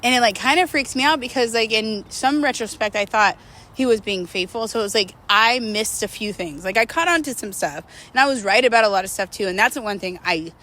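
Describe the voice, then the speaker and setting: A woman's voice: fairly high pitch, fairly quick, fairly bright tone. A woman talking casually and confessionally to her viewers, in a candid, rambling, emphatic way with slangy wording. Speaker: host of a personal vlog series.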